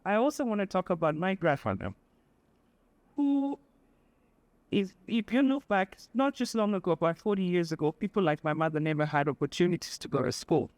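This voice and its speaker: A woman from Sudan, giving an earnest, measured speech as a guest speaker, pausing often with fillers. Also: lowish voice, lively intonation, a little mellow, no echo. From South Sudan. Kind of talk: public speech at an event.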